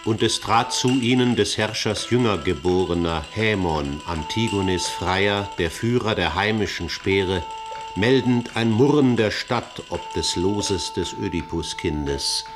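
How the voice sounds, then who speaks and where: An actor playing the solemn narrator of an ancient tragedy, reciting epic verse in a radio drama. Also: male voice, low voice, measured pace, music in the background.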